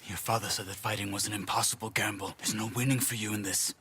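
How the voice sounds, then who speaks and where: A worried, cautioning adviser with a lowish voice, urgent and pleading. It is a video game scene with clear noise behind him. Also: male voice, fairly even intonation, quick pace, bright, forceful tone. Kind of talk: video game cutscene acting.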